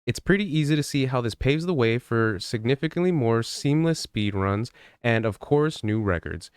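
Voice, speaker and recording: A man giving casual gaming news commentary in a conversational, rambling way, softening his opinions as he goes. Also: mid-range voice, lively intonation, moderate pace, smooth, dry recording.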